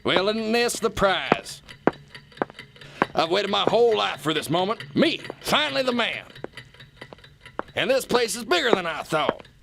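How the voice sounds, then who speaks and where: High-voiced, creaky, bumbling boss man. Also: sing-song, heavily acted. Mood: smug, pleased. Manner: folksy, halting, self-important. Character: bumbling, self-satisfied new boss, a folksy politician heir. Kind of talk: radio theater acting. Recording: noisy radio drama recording.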